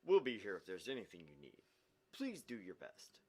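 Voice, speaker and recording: A man's voice: fairly low pitch, huge pitch swings, normal speed, husky, heavily acted. A man voicing a courteous, concerned doctor in a visual novel, reading formally and politely. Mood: polite, caring.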